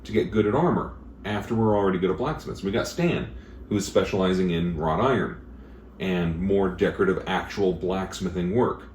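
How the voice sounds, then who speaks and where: A man who teaches blacksmithing, talking in a casual interview with a booming, emphatic way of explaining things at length. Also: moderate pace, a little mellow. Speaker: blacksmith instructor. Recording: echoey room with faint noise.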